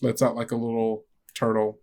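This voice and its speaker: Very low-voiced woman game master. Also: flat delivery, mellow, slight background noise. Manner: halting, conversational. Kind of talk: improvised tabletop game narration. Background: music.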